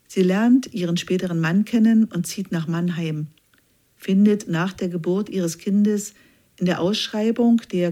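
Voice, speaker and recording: A woman reading a scripted news feature aloud in an even, measured way. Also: lowish voice. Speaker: news reader.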